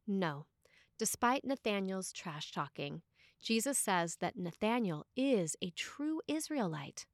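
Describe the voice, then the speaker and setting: A woman priest reads a scripted sermon in a deliberate way, with emphatic pauses. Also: mid-range voice, very animated, dry recording, studio quality.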